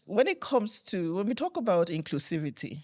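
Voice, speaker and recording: A woman's voice: fairly low pitch, big pitch swings, brisk, darkish tone, no echo, studio quality. A woman hosting an interview in a friendly, affirming way, with frequent backchannel murmurs. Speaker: interviewer host. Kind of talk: conversational interview.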